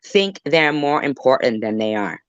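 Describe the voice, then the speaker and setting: A woman chatting casually in an agreeable, supportive way, with a hesitant, halting delivery. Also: a bass voice, lively intonation, smooth, dry recording, muffled recording.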